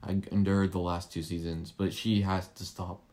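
Young man, nineteen, deep and mellow, joking and rambling podcast co-host. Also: flat delivery, moderate pace. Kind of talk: casual chat.

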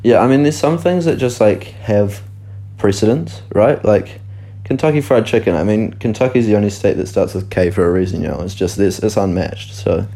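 Low, mellow-voiced deadpan young guy. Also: normal speed. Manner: dry, deadpan jokes. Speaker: podcast co-host. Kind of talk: casual chat.

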